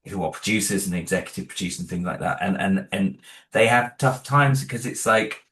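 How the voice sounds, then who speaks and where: A man with a very low voice talks casually about his own creative work. He is self-deprecating and hesitant and stumbles over his words. His phrases trail off and restart. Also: a little fast, fairly bright tone, slight background noise. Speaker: audio drama creator, interview guest. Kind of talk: casual interview conversation.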